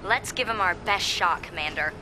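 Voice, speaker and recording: A woman's voice: fairly low pitch, normal speed, natural voice, poor audio. An actress playing a determined, loyal female military ally in a video game cutscene. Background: music.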